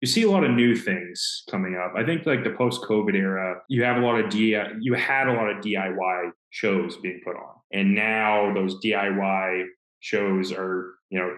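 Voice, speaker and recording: A deep-voiced young man from Maine, a metal musician, talks in a loose, rambling way, pausing and restarting as he goes. His voice carries a noticeable room echo, as if he were on a video call from an untreated room. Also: a bit bright. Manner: easygoing, rambling, with frequent pauses and restarts. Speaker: death metal musician, interview guest. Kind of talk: casual interview answers. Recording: roomy echo.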